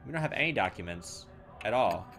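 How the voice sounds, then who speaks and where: A man's voice: fairly low pitch, lively intonation, brisk, fairly bright tone, faint music behind. Man thinking aloud over a game. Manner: thinks aloud with restarts, mildly exasperated. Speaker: let's play host. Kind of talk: casual game commentary, reading text aloud.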